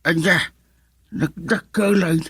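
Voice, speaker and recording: An actor with an Ilocano accent playing a jovial, teasing older father-in-law in a radio drama, with a husky voice. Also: a little high, fairly even intonation, takes it slowly, fairly bright tone, dry recording, studio quality, heavily acted. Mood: pleased.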